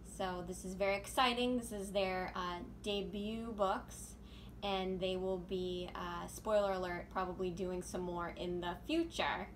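A woman in her thirties informally hosting a children's storytime, pausing as she addresses young listeners. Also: medium pitch, steady tone. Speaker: children's library storytime host. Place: small room indoors. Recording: noisy home recording.

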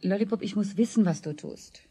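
Mellow, worried mother played by a woman. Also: medium pitch, fairly quick, slightly rough, natural voice. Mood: insistent. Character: worried, probing mother of two, office worker. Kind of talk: German radio play for children, 1970s.

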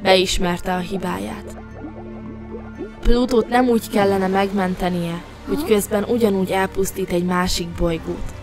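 Female storyteller, scripted fairy tale narration, medium pitch, prominent background music. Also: fairly even intonation.

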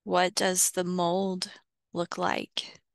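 A woman guides a visualization in short, measured phrases. Her delivery is very slow and a little low, with a creaky fry at the edges. The sound is dry, without room echo, and she leaves space between instructions. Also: completely flat delivery. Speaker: guided meditation host.

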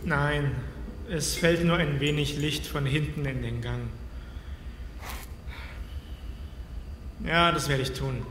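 An echoing space carries the high voice of a man playing an anxious, sarcastic captive in a horror audio drama. He speaks slowly and haltingly, with a bright, tense edge. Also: steady tone. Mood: relieved, tense. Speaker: voice actor. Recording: echoey hall reverb, faint background noise.

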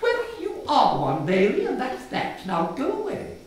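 A man's voice: extremely high pitch, huge pitch swings, unhurried, full character voice. An older man voicing a stern, dismissive storybook mother with a curt, scolding manner. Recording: echoey hall from far off, some noise.

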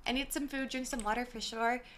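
A young woman in her twenties chatting casually on a gaming live stream, her voice slightly hoarse and worn, talking from a small room into a mic set far from her with the gain turned up. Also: on the high side, steady tone, a little fast, noisy background. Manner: chatty, apologetic, greets viewers by name. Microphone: desk mic set far from her, gain turned up.

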